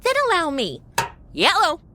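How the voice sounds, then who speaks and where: A woman's voice: sits high, huge pitch swings, normal speed, noisy background. A woman playing a sarcastic, wisecracking modern young woman in a comedy audio drama. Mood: snarky. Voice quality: snarky, wisecracking.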